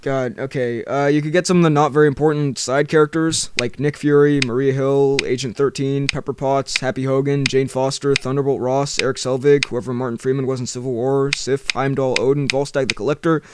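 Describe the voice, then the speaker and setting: A man with a sore throat that leaves his voice gravelly and deeper than usual, chatting wryly in a casual video and rattling off long lists of names. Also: flat delivery, a little fast, hardly any pauses, background noise. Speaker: video creator. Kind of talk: casual movie-talk monologue.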